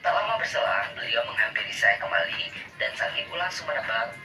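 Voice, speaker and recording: A man's voice: medium pitch, a little fast. A man narrating a first-person travel story in a formal, evenly read style. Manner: even, formal read-aloud delivery. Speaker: narrator of a played video. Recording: distant voice with music behind.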